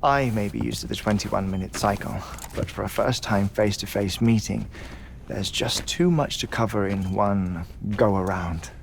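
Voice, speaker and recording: Game cutscene role: eccentric, mournful man in middle age, low gravelly voice, formal and halting. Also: a little hiss or hum. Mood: dry, matter-of-fact. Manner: hesitant, stammering, formal wordy speech. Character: eccentric, melancholy middle-aged man living in twenty-one-minute cycles. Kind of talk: video game cutscene acting.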